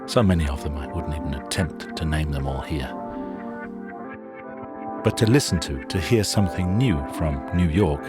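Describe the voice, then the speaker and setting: A man hosting a sound documentary, narrating a scripted introduction in a measured, wry storytelling manner. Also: extremely low pitch. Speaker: show host and narrator. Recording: narration over loud music.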